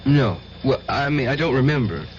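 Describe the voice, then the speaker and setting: An actor playing a distraught, haunted man in a radio drama, speaking in a halting, troubled way. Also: medium pitch, lively intonation, lightly acted. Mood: troubled, uncertain. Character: distraught, haunted man grieving a murdered woman. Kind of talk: 1970s radio drama acting. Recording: dull old radio recording, clear noise.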